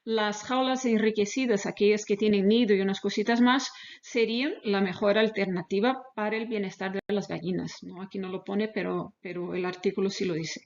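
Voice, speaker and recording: A woman researcher gives an online lecture in Spanish, which is not her native language. Her pitch is mid-range for a woman and her phrasing is careful and a little halting. The webinar audio is slightly dull and lo-fi. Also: steady tone, a little mellow. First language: not Spanish, speaks Spanish as a second language. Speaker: animal welfare researcher, webinar presenter.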